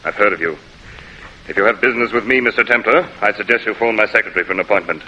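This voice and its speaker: A man playing a gruff, indignant, self-important company boss in a 1940s radio drama, blustering and clipped. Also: on the low side, very fast, bright, forceful tone. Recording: muffled, noisy vintage radio recording.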